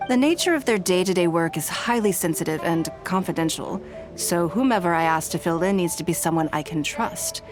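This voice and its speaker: An actress plays a composed noblewoman leader in a video game. Her voice sits a little low and her speech is formal and measured, with clear authority. Music plays behind her. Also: lively intonation, lightly acted. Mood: composed, gracious. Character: poised, authoritative noblewoman leader employing secretaries. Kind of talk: scripted video game dialogue.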